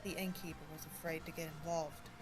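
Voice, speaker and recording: A woman voicing a gentle, polite young male monk acolyte in a fan dub of a game. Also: low-pitched, level tone, fairly quick, soft background music, natural voice. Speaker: fan voice actor.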